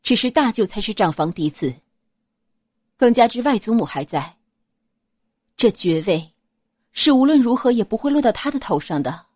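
A woman voicing a gentle, shrewd young noble girl in an audiobook, soft-spoken, measured and persuasive. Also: mid-range voice, breathy edge, no echo, studio quality. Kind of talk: audiobook character acting.

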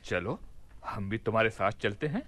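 A man's voice: lowish voice, lively intonation, moderate pace, a bit bright, dry recording. A man playing a genial, persistent merchant with a hearty, familiar manner in a radio play. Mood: hearty, curious. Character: genial, insistent travelling merchant from Mosul. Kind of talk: theatrical radio play acting.

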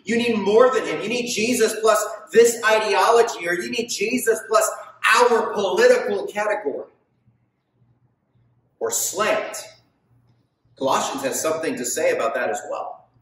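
A man's voice: high-pitched, lively intonation, normal speed, fairly bright tone. A man in his thirties preaching a sermon, emphatic and asking the congregation questions as he gestures on stage. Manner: gestures widely while preaching. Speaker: pastor. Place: stage of a large indoor hall. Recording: very echoey hall, mic far off.

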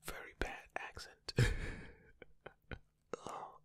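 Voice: very high-pitched, wildly swooping pitch, a little slow, dry recording. Close, dry whispered fact reading. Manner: rambling, self-mocking asides; soft whisper throughout. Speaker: ASMR creator.

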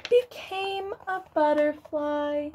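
A woman's voice: sits high, steady tone, slow pace, darkish tone. A young woman reading a picture book aloud to children, adding exclaiming, casual asides as a teacher would. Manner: exclaiming, child-directed commentary.

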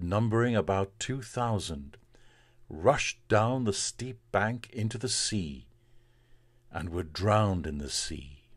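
A man, a priest, reading from the Bible in a solemn, measured and deliberate way. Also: fairly low pitch, no room sound.

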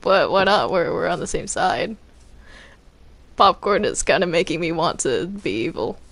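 A woman's voice: lowish voice, expressive, moderate pace, vocal fry, a bit bright, no echo. A young woman playing a character in a game roleplay, talking casually and stammering when flustered. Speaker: roleplay player.

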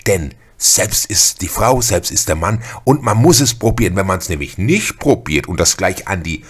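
A middle-aged man with a husky voice hosts a podcast monologue, chatting informally and giving listeners direct advice. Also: deep voice, lively intonation, moderate pace. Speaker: podcast host. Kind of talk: casual spoken podcast monologue addressed to listeners.

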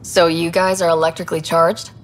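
An actress playing a young, earnest, easygoing human explorer in a video game, speaking casually and directly. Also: female voice, on the low side, level tone, brisk, slight background noise, lightly acted. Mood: casual, curious.

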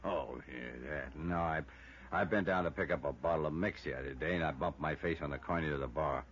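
An American actor playing a gruff, defensive hotel bartender, guarded and colloquial, in a 1950s radio drama. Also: male voice, very deep voice, a little fast, fairly bright tone. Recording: lo-fi old radio recording, faint noise.

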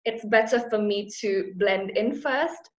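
A woman's voice: medium pitch, slow pace. A woman, chatting casually and animatedly as an interview guest, switching fluently between two languages. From Indonesia, raised partly abroad in London and Ethiopia. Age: 20s. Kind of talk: casual chat mixing Indonesian and English. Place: small plain room indoors. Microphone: video call. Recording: dull lo-fi video call sound.

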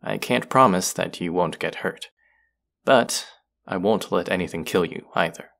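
Man playing a deep, gravelly young male vampire. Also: a little slow, fairly bright tone, heavily acted. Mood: earnest pleading. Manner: earnest, pleading, wry. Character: hungry young male vampire, earnest, wry, protective. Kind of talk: intimate audio roleplay drama.

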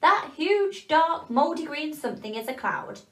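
A woman voicing a wise, kind woodpecker who explains things in a calm, reassuring way. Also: sits high, unhurried, roomy sound, voice pushed up.

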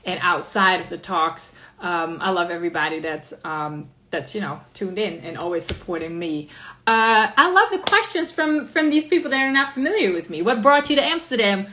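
A woman's voice: medium pitch, animated, normal speed, bright, forceful tone, a little hiss or hum. A woman in her thirties from the Netherlands with a slight American accent, hosting a casual live stream from a small room and answering viewer questions in an upbeat, chatty way, speaking into a phone held at arm's length. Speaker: live-stream interview host. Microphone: phone camera at arm's length.

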